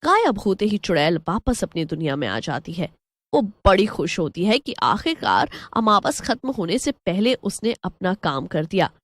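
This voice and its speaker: A woman's voice: fairly low pitch, very animated, normal speed, no room sound. A woman narrating a scripted horror story as a storyteller.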